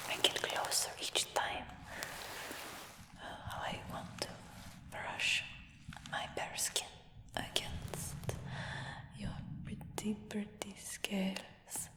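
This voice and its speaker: A woman whispering a fantasy roleplay for ASMR in a soft, coaxing way, repeating words slowly. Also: mid-range voice, monotone. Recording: roomy with long reverb.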